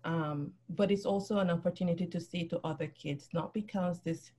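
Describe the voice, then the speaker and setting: A woman talking earnestly and reflectively as a guest on a casual podcast, pausing often. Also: fairly low pitch, fairly even intonation, mellow. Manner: earnest, reflective, frequent ums. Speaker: children's book author, guest.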